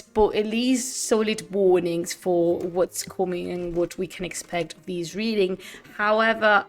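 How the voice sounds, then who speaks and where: Host, young woman, Spanish native speaking English, chatty and expressive, faint music under her. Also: lowish voice. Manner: lively, laughing, drops to whispers. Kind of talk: casual livestream chat before a reading.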